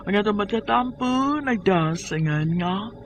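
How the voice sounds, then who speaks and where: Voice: medium pitch, expressive, a little slow, heavy background noise. An announcer with a Filipino Cebuano accent giving dramatic scripted narration for a radio drama. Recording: music behind the voice.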